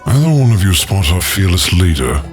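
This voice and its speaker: A level-headed adventurer played by a man keeps his companions in line with patient, dry courtesy. His deep voice moves expressively. Music is clearly present behind this fantasy audio drama. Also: fairly quick. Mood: polite. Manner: calm, dry, polite.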